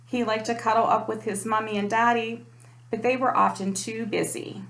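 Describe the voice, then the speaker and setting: A woman's voice: on the high side, flat delivery, normal speed, a bit bright. A woman reading a children's picture book aloud in a storytime style. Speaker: storytime reader, parent. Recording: echoey room.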